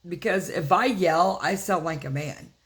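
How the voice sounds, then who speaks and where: Deep-voiced older woman telling a story. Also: unhurried. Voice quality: deep rough voice. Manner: rambling, lots of fillers and restarts. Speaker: vlogger. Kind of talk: casual storytelling to camera. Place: inside a house.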